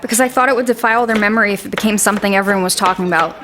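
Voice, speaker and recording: Female actor for young village woman in fantasy audio drama: mid-pitched, frank, caring, guarded, crackling background noise. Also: rapid-fire, lightly acted. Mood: guarded, sorrowful. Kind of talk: naturalistic audio drama dialogue.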